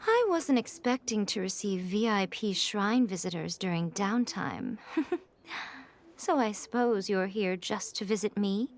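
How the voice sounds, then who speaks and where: An actress playing a sly, playful shrine priestess with a teasing, arch manner. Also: female voice, medium pitch, expressive, a little slow. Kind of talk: video game voice acting.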